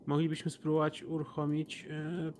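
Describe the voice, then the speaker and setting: Man, fairly high voice, tabletop player, casual planning talk, some background noise. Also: completely flat delivery, moderate pace, a little mellow. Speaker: player in a tabletop role-playing game. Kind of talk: casual in-game planning discussion.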